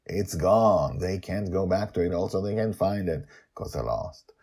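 A low-voiced man hosts a story podcast with a husky, gravelly delivery. He speaks in theatrical short phrases, swinging widely in pitch. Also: animated, unhurried, dark tone. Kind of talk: dramatic podcast narration and commentary.

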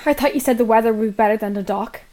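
An actress playing a defiant, sullen seventeen-year-old daughter, sulky and sarcastic, in a radio drama. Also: female voice, on the high side, very fast, dark tone, close to an everyday voice.

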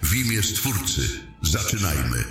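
A man's voice: sits low, big pitch swings, unhurried, a bit bright, exaggerated voice. A man playing a solemn, courteous king in a fantasy audio drama, speaking in a formal, ceremonial and commanding way. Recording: echoey great hall reverb.

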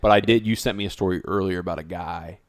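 Lowish creaky guy on a podcast mic, teasing and rambling, dry close sound. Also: fast, no echo. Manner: playful teasing, loose storytelling with "like" and "dude". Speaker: podcast co-host. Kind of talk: joking casual banter. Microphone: studio mic.

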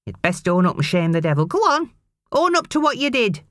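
A woman's voice: mid-range voice, very animated, a little fast, dry recording, studio quality, voice pushed up, close to an everyday voice. A woman doing a spiteful scolding mother. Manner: sharp, scolding, ranting.

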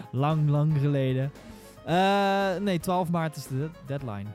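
A young man chatting casually and haltingly on a podcast panel. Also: a little high, expressive, a little mellow, faint music behind. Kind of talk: casual chat.

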